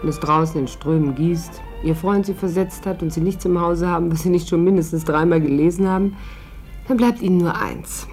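A woman's voice: fairly low pitch, a little fast, darkish tone, faint music behind, close to an everyday voice. A woman playing a witty, sarcastic young actress in a 1950s German radio play. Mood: wry. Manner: dry, sarcastic. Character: sharp-tongued, witty young actress, fond of mimicry.

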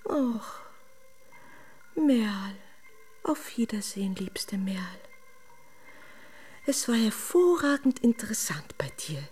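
A woman playing a dainty, homesick princess in a radio play, breathy and often whispering, with quaintly formal, exclaiming speech. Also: mid-range voice, sing-song, takes it slowly, long pauses, a little mellow, full character voice. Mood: wistful, fussy. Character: runaway storybook princess, dainty, homesick, fussily polite. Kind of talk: German radio drama acting.

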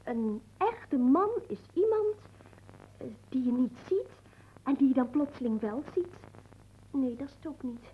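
A naive young maid in a comic radio play, with a high, soft-toned voice. She speaks slowly and haltingly, innocent and deferential. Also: lively intonation, slight background noise, poor audio. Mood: shy, puzzled. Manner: halting, innocent, searching for words.

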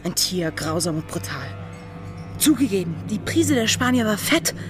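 A woman's voice: mid-range voice, big pitch swings, normal speed, lightly acted. An actress playing a scheming, determined female pirate in a radio drama, speaking with urgent resolve. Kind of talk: German radio drama acting. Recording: music underneath.

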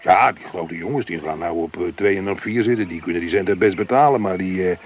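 An actor playing a gruff, cynical radio inspector in a monitoring van, who talks in a blunt, grumbling way, in a radio play. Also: male voice, sits low, fast, a little hiss or hum, natural voice. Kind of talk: radio drama dialogue.

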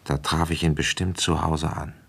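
A man's voice: a bass voice, level tone, normal speed, vocal fry, background noise. An actor in a German radio drama playing an avuncular, ambitious police commissioner in his fifties who has a feverish cold and talks bluntly and coaxingly. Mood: musing. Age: middle-aged. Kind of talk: German radio drama acting and first-person narration.

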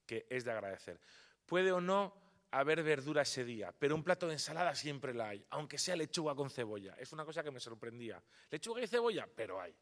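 A man from Spain gives a nutrition lecture to a live audience, pressing his points with rhetorical questions. His voice sits at an ordinary middle pitch for a man. He answers questions in a more relaxed, chatty way. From Spain (Palma de Mallorca). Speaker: nutrition speaker at a public event.

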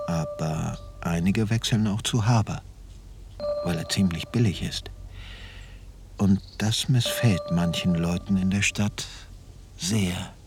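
An actor playing a narrator looking back on his schooldays in a radio drama, dry, laconic and understated. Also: low-pitched.

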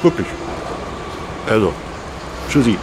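A man's voice: lowish voice, big pitch swings, takes it slowly. An actor playing a chatty, effusively grateful ordinary man who rambles and repeats himself in a radio drama. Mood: gushing. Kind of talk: radio drama vox pop. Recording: noisy outdoor urban traffic.

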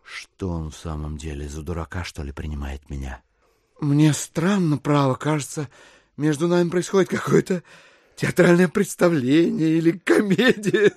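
An actor playing a smooth, fussily polite gentleman schemer in an audio drama, with a mannered, ingratiating delivery. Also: a little high, normal speed, dry recording, studio quality. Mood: indignant. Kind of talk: theatrical audio drama acting in Russian classic.

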